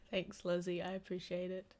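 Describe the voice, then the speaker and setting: A woman's voice: on the low side, fairly bright tone. A young woman with a New Zealand accent, sniffly, chatting casually and self-deprecatingly while reading a book aloud on a livestream at home.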